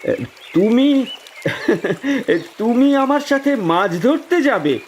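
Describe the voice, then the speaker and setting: A man's voice: extremely high pitch, expressive, takes it slowly, slight background noise, full character voice. A man playing a good-natured, hesitant younger brother, a village fisherman in a cartoon folk tale. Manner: hesitant, exclamatory.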